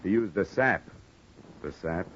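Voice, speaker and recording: Low-voiced, wry hard-boiled radio detective. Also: male voice, animated, a little slow, background noise. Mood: confident, brisk. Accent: American. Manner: dry, sardonic, clipped wisecracking. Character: wry, hard-boiled insurance investigator narrating his case. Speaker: lead actor and narrator. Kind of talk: old-time radio noir detective acting. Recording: muffled old radio recording, music behind.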